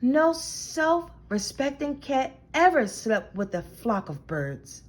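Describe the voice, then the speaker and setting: A woman reading a children's picture book aloud in an expressive, deliberate storytelling manner. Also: high voice. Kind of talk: animated picture-book read-aloud narration.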